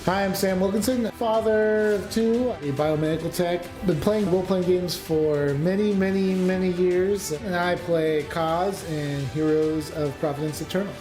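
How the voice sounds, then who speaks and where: A man's voice: high-pitched, fairly even intonation, moderate pace, hardly any pauses. A man giving a casual self-introduction as a player on a tabletop game show. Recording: roomy echo under prominent music. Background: music.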